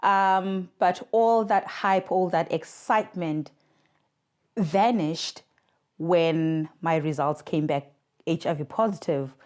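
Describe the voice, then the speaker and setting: A woman's voice: fairly low pitch, slow pace, a little mellow. A South African woman in her thirties, a podcast guest telling her own story in an earnest, reflective way over a remote connection. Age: 30s. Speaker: guest, communications worker for a mothers' charity. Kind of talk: podcast interview, personal story. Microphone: remote recording.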